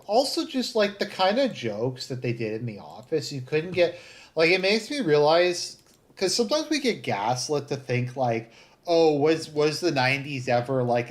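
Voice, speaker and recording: Rambling Southern Ontario man, chatting casually. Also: mid-range voice. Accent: Southern Ontario Canadian. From Southern Ontario, Canada. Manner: rambling, halting, thinking aloud. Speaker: livestream host. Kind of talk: casual chat, live commentary.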